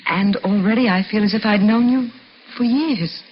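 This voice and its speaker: An actress playing a sweet wife who is secretly shrewd, in 1950s radio drama style. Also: female voice, mid-range voice, normal speed, close to an everyday voice. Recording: muffled, hissy vintage radio recording.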